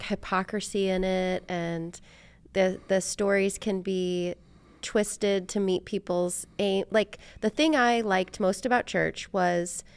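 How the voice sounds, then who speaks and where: A woman's voice: medium pitch, vocal fry, smooth. A middle-aged American woman hosting a podcast, talking in a chatty, informal and reflective way. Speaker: podcast host. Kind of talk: casual conversational interview.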